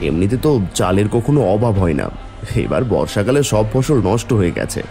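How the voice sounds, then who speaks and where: A man's voice: on the low side, sing-song, fairly quick, dark tone, soft background music. A man voicing a poor, mild-mannered village farmer and father in a cartoon fairy tale. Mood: dejected.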